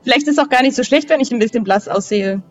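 A woman's voice: a little high, fast, soft background music. A woman casually playing her character in a role-playing game.

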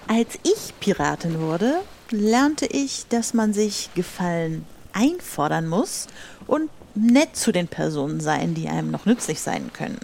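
Woman as female pirate captain, audio drama, demanding, instructive, animated intonation, gravelly edge, ambient noise. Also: fairly low pitch, unhurried, exaggerated voice. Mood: commanding.

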